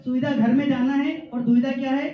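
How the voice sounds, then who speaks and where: Male, very high voice, playful mock lecturer doing comic speech, recorded far off in an echoey room. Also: level tone, brisk. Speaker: training participant performing a humorous recap. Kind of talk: stand-up style humorous speech to colleagues. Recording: distant, echoing hall recording, slightly muffled.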